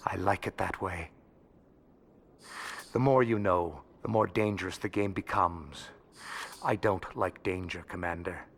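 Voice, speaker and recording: Cagey info broker guy, game dialogue, lowish voice, measured and knowing. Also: fairly bright tone. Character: cagey, cautious male information dealer. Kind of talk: video game voice acting.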